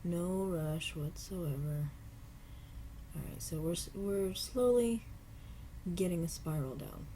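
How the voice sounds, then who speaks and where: A low-pitched woman with a cold talks viewers through making earrings at home, sounding stuffy. She is relaxed and unhurried, and now and then stops to apologize. A faint hiss of background noise sits behind her voice. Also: low-quality recording. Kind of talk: casual step-by-step craft tutorial. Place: home craft table.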